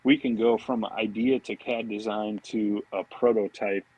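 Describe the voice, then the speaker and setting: Low husky american guy, super casual rambling podcast guest, dry close sound. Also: steady tone, a little slow, a little mellow, dry recording, dull audio. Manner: informal, rambling, peppered with "you know" and "man". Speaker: podcast guest, fishing products marketing director. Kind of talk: casual interview chat.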